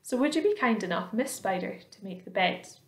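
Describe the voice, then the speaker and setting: A woman's voice: medium pitch, lively intonation, close to an everyday voice. A woman voicing a dignified, courteous old male grasshopper in a children's story, with a stately manner. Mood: firm. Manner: slow, stately, scornful when slighted. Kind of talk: children's storybook read aloud. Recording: roomy, echoing indoor sound.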